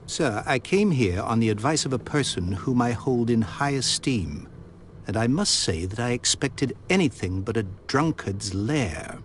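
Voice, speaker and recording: A man's voice: medium pitch, animated, a little slow, slight background noise, lightly acted. A man voicing a proud, grieving older industrialist in a video game, speaking formally and sternly. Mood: haughty. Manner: formal, haughty and pleading. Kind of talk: game voice acting.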